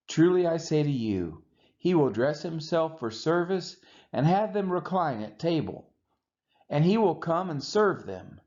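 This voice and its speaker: A man reads scripture aloud in an expressive, measured and emphatic way, as a Bible reader for a church read-along. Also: fairly high pitch. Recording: dull, muffled lo-fi audio.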